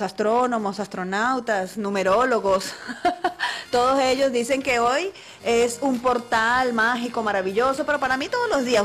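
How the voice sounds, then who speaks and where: A middle-aged Venezuelan woman hosting a radio show, talking in a chatty, upbeat way to her listeners. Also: on the high side. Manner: warm, chatty, listing names quickly. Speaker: radio host. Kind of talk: casual radio talk.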